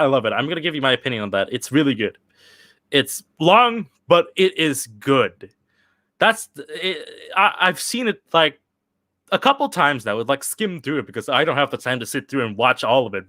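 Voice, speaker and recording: A man's voice: mid-range voice, animated, pushed and bright, dry recording. Rambling young man, dry close sound. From Sweden. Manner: rambling, halting, with many restarts and fillers. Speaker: channel host answering live chat questions. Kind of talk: casual livestream chat.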